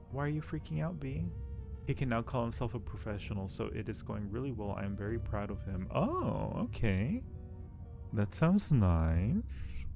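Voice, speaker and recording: A man's voice: fairly low pitch, gravelly. A man hosting a casual game livestream, reading story text aloud and chatting between murmured asides. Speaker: livestream host. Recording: music behind the voice. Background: music.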